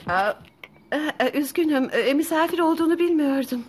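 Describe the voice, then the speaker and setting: Anxious high-voiced cartoon mountain woman. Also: animated, brisk, noisy background. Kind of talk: animated cartoon dubbing. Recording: music under the voice.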